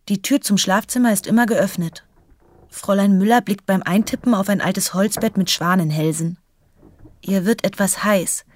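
A woman's voice: mid-range voice, close to an everyday voice. Radio drama: actress as observant female narrator, medium register, dry delivery, slight background noise. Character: sardonic female observer recounting a writer's habits. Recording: faint noise with clicks.

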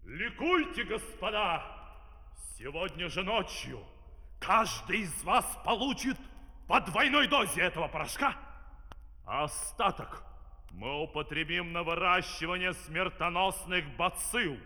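A man's voice: sits high, animated, unhurried, exaggerated voice. A man playing a pompous villainous ruler in a comic fairy-tale opera, declaiming and singing theatrically. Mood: gloating, triumphant. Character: pompous villainous ruler scheming to dominate humanity. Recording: vintage stereo LP, loud orchestra.

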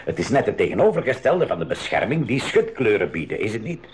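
Keen explorer in an 80s radio play, lowish voice that moves around a lot, inquisitive. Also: male voice, very animated, quick pace. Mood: curious. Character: eager, inquisitive male expedition member. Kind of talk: 1980s school radio drama acting.

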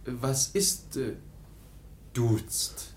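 An actor playing a naive, eager young foundling in a radio play, speaking haltingly and unsure of his words. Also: medium pitch, expressive, roomy sound. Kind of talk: 1950s-style radio play acting.

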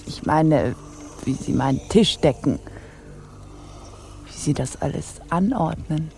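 An actress playing a caged, deposed pirate captain in a radio play, speaking formally with languid, teasing irony. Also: female voice, deep voice, lively intonation, slow pace, gravelly, darkish tone, a little hiss or hum, heavily acted. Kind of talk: German radio play acting.

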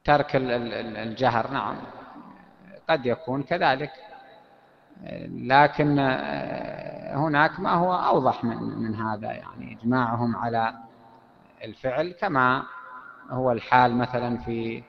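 A man teaching a classical legal text, explaining at length with pauses and fillers. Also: medium pitch, creaky, slight background noise. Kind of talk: lecture on Islamic legal theory. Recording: echoey hall, dull lo-fi sound.

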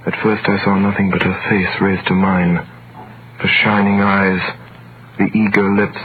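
A man's voice: fairly low pitch, phone-quality sound, lightly acted. A man playing an earnest young sailor in solemn, theatrical 1930s radio drama style. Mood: intense, brooding. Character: earnest young sailor, nineteen, recounting his own story. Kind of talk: 1930s radio acting, dramatic first-person narration. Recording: thin noisy vintage radio recording.

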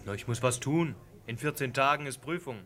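An actor playing a brisk, bantering young medical student, blunt and wry, in a German radio play. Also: male voice, mid-range voice, loud music behind the voice, lightly acted. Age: young adult.

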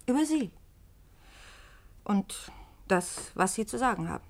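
A plucky female reporter in a German radio drama, her voice at an ordinary medium pitch. She is curious and direct, questioning sceptically with a wry, teasing edge. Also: animated, a little slow. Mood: candid, probing. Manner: direct, probing, wryly teasing. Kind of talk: German radio play acting.

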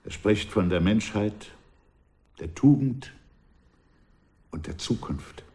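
An aging ex-revolutionary, low and mellow. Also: male voice, lively intonation. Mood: reflective. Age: older. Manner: measured, wistful, often hushed. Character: aging male ex-revolutionary, reflective and proud. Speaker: former revolutionary recalling his past. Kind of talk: radio drama acting, reflective narration.

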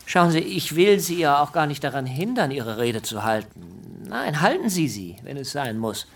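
An actor playing an earnest, stubborn and unassuming young politician in a radio drama, polite, precise and self-assured. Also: male voice, on the high side, very animated, moderate pace, gravelly, heavily acted. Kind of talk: German radio drama acting.

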